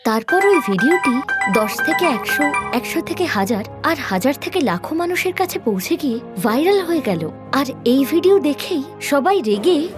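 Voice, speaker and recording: A woman's voice: high voice, sing-song, moderate pace, music in the background. A woman narrating a scripted moral story expressively, as a storyteller.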